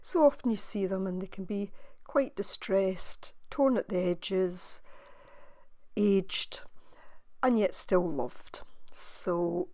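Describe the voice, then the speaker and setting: Soft-spoken woman, dry close mic. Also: medium pitch, dry recording. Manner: soft, musing, thinking aloud with pauses. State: slightly croaky voice. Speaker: craft video maker. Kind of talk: relaxed crafting voice-over.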